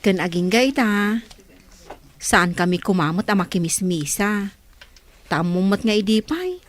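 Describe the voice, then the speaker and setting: A woman's voice: mid-range voice, lively intonation, a little slow, natural voice. A woman playing a caring, devout middle-aged aunt in an Ilocano radio drama. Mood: reassuring, devout.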